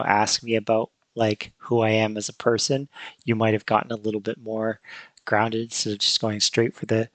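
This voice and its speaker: A man hosting a podcast in casual chat, speaking thoughtfully and hesitantly with many pauses and fillers. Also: medium pitch, no echo. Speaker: podcast host.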